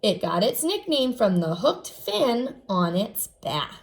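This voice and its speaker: Female first-grade teacher, animated pitch, creaky edges, enunciated instructional read-aloud delivery. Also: takes it slowly. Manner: instructional, enunciated, posing questions to young listeners. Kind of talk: read-aloud lesson for young children.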